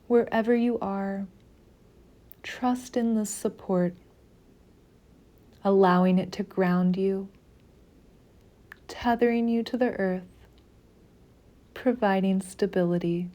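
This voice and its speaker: A woman guiding a meditation in a soothing, measured narration with long pauses between phrases. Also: medium pitch, steady tone, dark tone. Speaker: meditation guide. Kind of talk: slow guided meditation narration.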